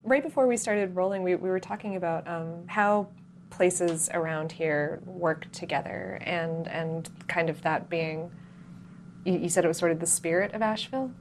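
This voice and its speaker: A woman's voice: lowish voice, moderate pace, faint music behind. A woman conducting a casual interview in an encouraging manner. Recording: background noise with hum.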